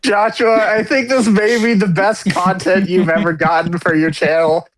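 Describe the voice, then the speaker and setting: High-voiced curious man. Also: fairly even intonation, moderate pace, a little hiss or hum, rough recording. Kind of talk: casual chat.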